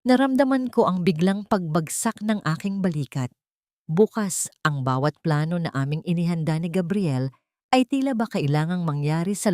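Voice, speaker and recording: A woman reading a scripted first-person story with deliberate, dramatic phrasing. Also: low voice, a little mellow, no echo, studio quality. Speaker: audio story narrator.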